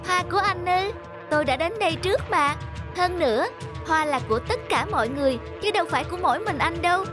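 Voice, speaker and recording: A tiny cartoon ant speaks in a very high voice. It is polite yet defiant, arguing its case indignantly over background music. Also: female voice, lively intonation, fast talker. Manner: reasoning, indignant. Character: small plucky ant, polite but defiant. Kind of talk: animated children's fable acting. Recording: music under the voice.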